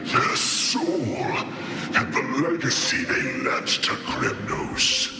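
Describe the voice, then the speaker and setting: A man's voice: on the low side, wildly swooping pitch, heavily acted. An actor voicing an ancient, solemn male lion guardian in a video game, with a grave, theatrical, reverent delivery. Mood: grave, pleading. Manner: slow, theatrical, portentous delivery. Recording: echoing, loud music behind.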